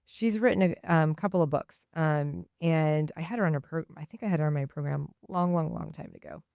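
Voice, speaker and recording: A woman hosting a radio show, talking and reading aloud in an informal, halting way. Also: deep voice, creaky, darkish tone, dry recording, clean studio sound. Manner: hesitant, informal, halting delivery. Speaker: radio host. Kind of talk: casual spoken commentary and reading aloud on radio.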